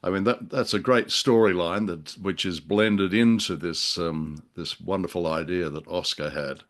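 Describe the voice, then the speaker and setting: A man co-hosting a conversational discussion, speaking in halting, wordy phrases. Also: low voice. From Australia. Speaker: podcast co-host. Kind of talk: conversational discussion of classic radio drama.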